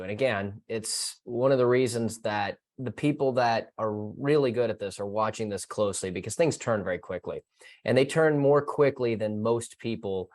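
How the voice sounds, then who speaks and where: A man's voice: medium pitch, darkish tone. A man, apparently a financial advisor, answers a podcast question in casual, emphatic talk.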